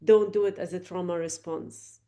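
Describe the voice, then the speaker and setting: Woman from Lebanon, middle-aged, low mellow voice, reflective and earnest, often restarting her phrases. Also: steady tone, moderate pace. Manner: thoughtful, earnest, with frequent fillers and restarts. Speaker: podcast guest. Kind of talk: reflective interview answers.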